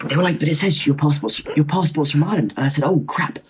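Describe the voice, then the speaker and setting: A man in his twenties with a husky voice, telling stories casually and excitedly on a podcast. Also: on the high side, quick pace, a little hiss or hum. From London, England. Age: 20s. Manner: excitable, re-enacts dialogue. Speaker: podcast guest, motorcycle traveller. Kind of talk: casual animated storytelling.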